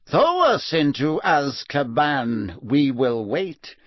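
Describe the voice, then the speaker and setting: A proud, fanatical woman prisoner, as a male narrator puts her on, drawing out her words in a slow, menacing voice. The voice is only lightly raised above the narration. The recording is dry and a bit dull. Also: a little high, sing-song, dry recording. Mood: defiant. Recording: dull, slightly muffled.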